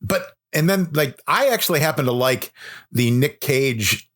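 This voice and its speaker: A man's voice: medium pitch, animated, a little slow, a bit bright. A man talking at length as a podcast guest in casual interview chat, sharing opinions with a film buff's enthusiasm. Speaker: podcast guest, horror author.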